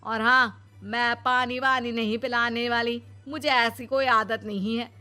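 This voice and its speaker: A woman's voice: on the high side, fairly even intonation, measured pace, pushed and bright, smooth. A woman playing a grumbling, defiant middle-aged mother who speaks bluntly in a moral story.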